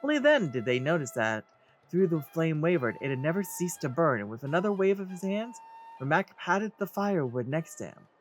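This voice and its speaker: A man's voice: fairly high pitch, sing-song, fairly quick, soft background music. A man hosting a let's play, reading game text aloud theatrically and commenting as he goes.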